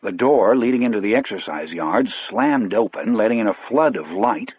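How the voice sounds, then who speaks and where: A man's voice: on the low side, expressive, normal speed, slightly rough, no echo, phone-quality sound. A man narrating an audiobook in the first person, as a storyteller.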